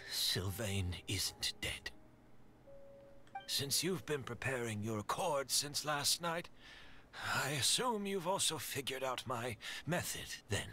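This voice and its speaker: An actor voicing a bitter, scheming middle-aged male perfumer in a video game, measured and sardonic, over game music. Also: mid-range voice, bright, forceful tone. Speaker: villain.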